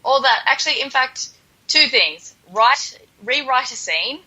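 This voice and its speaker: Bright-voiced woman podcast host, playfully sarcastic. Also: sits high, expressive, a little slow, husky, highs cut off. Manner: playful mock-dramatic sarcasm. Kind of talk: casual comedic chat.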